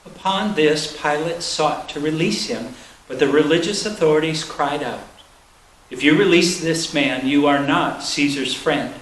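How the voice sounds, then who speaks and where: A man's voice: a little high, unhurried, fairly bright tone. Male scripture reader, solemn scripted delivery, echoey room with a faint noise underneath. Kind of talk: solemn scripted reading of a Bible narrative. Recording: roomy echo, faint background noise.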